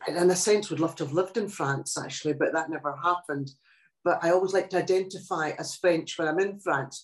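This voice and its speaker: Reflective older woman in echoey room. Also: low-pitched. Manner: reflective, thoughtful, with many pauses and restarts. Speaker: guest poet. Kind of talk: casual interview chat.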